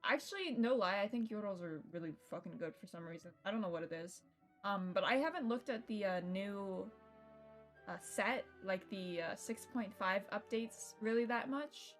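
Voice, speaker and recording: A woman's voice: medium pitch, expressive, moderate pace. Young woman streamer chatting, music behind. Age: young adult. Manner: informal, sweary. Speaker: livestreamer. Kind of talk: casual chat to stream viewers while setting up a game. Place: indoors at home computer setup. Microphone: streaming mic with noise gate.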